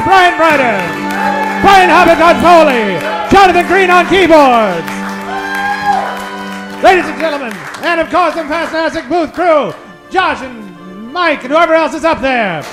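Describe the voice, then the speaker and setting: A man's voice: very high voice, expressive, normal speed, shouting. A man narrating and announcing in a grandiose, projected old-time radio serial style. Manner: grandiose, projected showman delivery. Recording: live stage with music and crowd.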